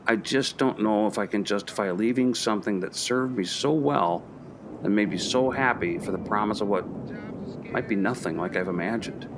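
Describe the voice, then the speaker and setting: An actor playing a gentle, thoughtful professor in a naturalistic radio play, calm and questioning. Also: male voice, fairly low pitch, moderate pace, noisy background, close to an everyday voice.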